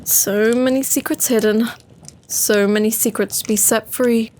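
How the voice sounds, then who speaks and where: A woman's voice: fairly high pitch, steady tone, a little slow, a little mellow, lightly acted. A woman playing a cryptic, formal narrator who muses solemnly in scripted audio drama narration, on a noisy clicking tape-style recording. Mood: solemn, musing. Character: cryptic, formal narrator of a secret story collection.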